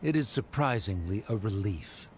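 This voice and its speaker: An actor playing a haughty, disdainful scholar in a video game, pompous and condescending. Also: male voice, lowish voice, lively intonation, normal speed, slight background noise, natural voice. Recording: faint background ambience.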